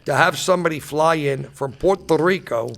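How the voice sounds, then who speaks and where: A man's voice: on the high side, normal speed, gravelly, fairly bright tone. A man hosting a comedy podcast, trading insult jokes and ribbing his guests in loose banter. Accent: New Jersey. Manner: roasting insult-comic jokes. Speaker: podcast host.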